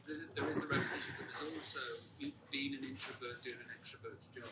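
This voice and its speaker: A man in candid talk, stumbling over his words and repeating them as his voice sinks toward a whisper. His voice is of middling pitch. It sounds echoey and far off, with steady noise behind it. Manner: halting, repeats words, drops to near whispers. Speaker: podcast guest. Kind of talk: candid conversational chat. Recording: echoey distant audio with noise.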